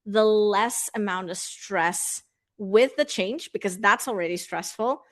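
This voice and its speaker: A woman's voice: medium pitch, measured pace, fairly bright tone. A woman whose first language is Portuguese, a brand designer talking as a guest in a casual chat, with fillers and the odd curse. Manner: chatty, swears casually, thinks aloud with fillers.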